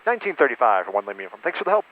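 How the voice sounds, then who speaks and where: A man's voice: mid-range voice, very animated, phone-quality sound, noisy background. A man flying as flight instructor, making clipped radio calls and chatting in the cockpit. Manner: rapid, clipped radio phraseology. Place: small plane cockpit.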